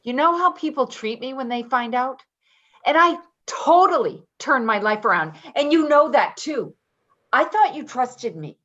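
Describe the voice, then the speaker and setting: An actress plays a tough ex-convict woman in a stage drama. She is blunt and quick to bristle, her pitch moving widely, on a slightly dull recording. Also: expressive, a bit bright, exaggerated voice. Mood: angry, defensive. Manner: blunt, combative, defensive. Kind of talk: dramatic play reading, naturalistic dialogue.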